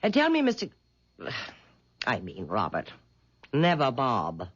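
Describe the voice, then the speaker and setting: An actress playing a fussy older spinster devoted to horoscopes, fluttery and plaintive, in 1970s radio drama style. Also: female voice, rumbling low, huge pitch swings, takes it slowly, breathy edge, heavily acted. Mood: insistent, fluttery. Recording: dull 1970s radio broadcast.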